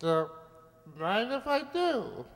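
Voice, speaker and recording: A woman playing a high-pitched, tipsy talking mouse. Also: big pitch swings, slow pace. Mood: tipsy, delighted. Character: tipsy, brash male talking mouse out for fun. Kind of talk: radio play acting. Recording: reverberant hall, stage-like echo.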